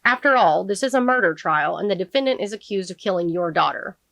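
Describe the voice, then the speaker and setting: A woman reading the part of a courteous male defense attorney, questioning a witness gently and formally as in a courtroom novel. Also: mid-range voice, animated, fast, gravelly, no room sound. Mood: gentle.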